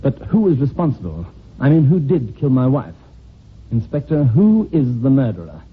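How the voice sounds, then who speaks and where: An actor playing an anxious, politely hesitant estranged husband under suspicion in an old-time radio drama. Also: male voice, medium pitch, very animated, moderate pace, breathy edge. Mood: worried, questioning. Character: anxious estranged husband suspected of his wife's murder. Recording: tinny, hissy old radio recording.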